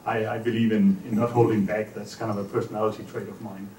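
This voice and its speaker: A man's voice: on the low side, fast talker, reverberant. A man talks energetically and bluntly from a panel table, gesturing as he speaks. The room rings around his voice, which sounds distant from the mic. A faint hum sits behind him. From Denmark. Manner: energetic, candid, gestures while talking. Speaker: startup founder panelist. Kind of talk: casual conference talk. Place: indoor conference room. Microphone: stand microphone at a panel table.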